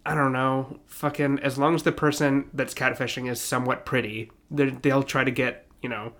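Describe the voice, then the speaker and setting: A man's voice: medium pitch, flat delivery, normal speed, a bit bright. A young man co-hosting a podcast, riffing comically in an irreverent, sweary, casual way. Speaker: podcast co-host.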